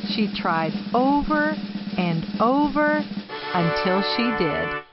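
A woman reading a children's picture book aloud expressively, with deliberate, dramatic phrasing. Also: on the high side, animated, muffled recording, over loud music. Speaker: storybook reader.

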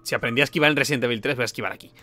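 A man's voice: mid-range voice, rapid-fire, bright, forceful tone, faint music behind. A man with an accent from Spain giving casual, sarcastic gameplay commentary as a streamer. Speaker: video game streamer.

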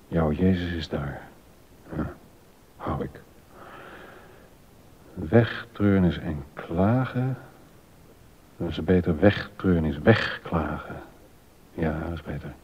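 Low-voiced, slow middle-aged composer, absorbed and thinking aloud; radio-play style. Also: male voice, slightly rough, heavily acted. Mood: absorbed, musing. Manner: halting, self-correcting, musing. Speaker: actor in a docudrama. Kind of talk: Dutch radio drama acting, thinking aloud. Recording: dull lo-fi sound with rumbling noise.

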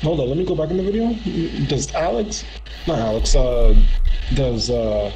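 A man's voice: mid-range voice, sing-song, normal speed, warm tone. A young man in casual live-reaction chat, talking in a slangy way as a streamer. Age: young adult. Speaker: streamer reacting to a game showcase. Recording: noisy home stream audio.

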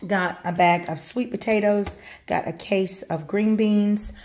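A woman in her thirties casually listing grocery items at home, rambling with many pauses and fillers, filmed on a handheld phone. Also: mid-range voice, fairly even intonation, a little mellow. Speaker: vlogger showing a grocery haul. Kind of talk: casual show-and-tell narration. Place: home kitchen, indoors.

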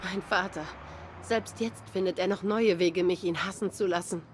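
A woman acts out a young cat woman in a noir game, grieving and guarded. Her voice is on the low side, subdued, with a bitter, sardonic edge. There is some noticeable ambient noise behind her. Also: fairly even intonation, normal speed, lightly acted. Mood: sardonic, hurt. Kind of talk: noir game dialogue acting.

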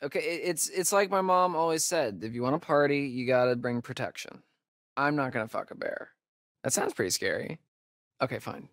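A man's voice: mid-range voice, a bit bright, dry recording, close to an everyday voice. Man playing a wisecracking young American guy, dry recording. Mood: joking, animated. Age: young adult. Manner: casual, sarcastic, halting asides. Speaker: video game voice actor. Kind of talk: naturalistic video game dialogue.

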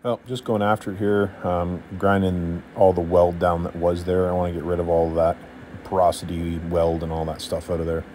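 A man giving a relaxed, offhand voice-over about his welding work, with drawn-out fillers. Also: low-pitched, slight background noise. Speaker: welder and channel host. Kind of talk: laid-back voice-over over time-lapse.

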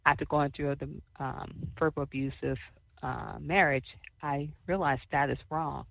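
A woman co-host with a soft voice interviewing a guest, affirming and churchy in her talk. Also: low voice, steady tone, moderate pace, creaky, phone-quality sound, slight background noise. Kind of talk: faith-based interview.

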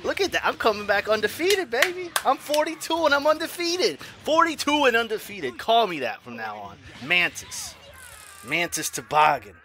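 A man in his forties commenting on his own online fighting game matches, swinging from low mutters to loud yells, laughing and cursing at himself and his opponent. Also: animated, normal speed, slight background noise. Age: 40s. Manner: profane, self-mocking trash talk. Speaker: gaming YouTuber. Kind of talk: live gameplay commentary.

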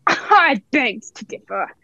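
A young woman playing a genial, flattering old one-legged sea cook in a radio play, with folksy, deferential sailor talk. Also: sits high, slow, no echo, highs cut off, heavily acted. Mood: deferential, persuasive.

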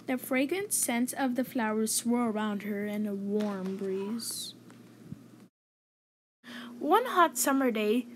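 A woman reading a children's story aloud in an expressive, clearly enunciated storytelling manner. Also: on the high side, a little hiss or hum. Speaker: host reading children's picture book aloud.

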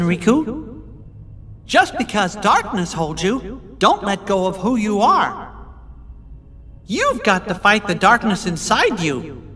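A man voicing a small cartoon mouse king, speaking in a high falsetto, gentle, earnest and encouraging, in a game cutscene. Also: big-room sound, full character voice. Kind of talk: video game cutscene dialogue.

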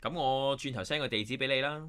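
A man's voice: mid-range voice, fast, fairly bright tone, clear, resonant tone, close to an everyday voice. An actor playing a friendly, eager, modest young man in a Hong Kong Cantonese radio drama. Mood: friendly, upbeat.

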